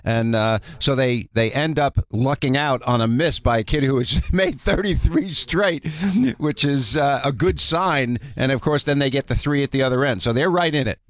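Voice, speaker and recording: A man's voice: mid-range voice, normal speed. A man giving conversational basketball analysis on sports radio, explaining like a coach.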